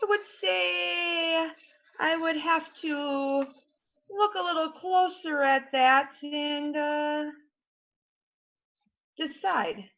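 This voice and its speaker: A woman playing a cool, evasive detective contestant in improv comedy, speaking in a slow, measured, musing way. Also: sits high, creaky, highs cut off, exaggerated voice. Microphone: home video call.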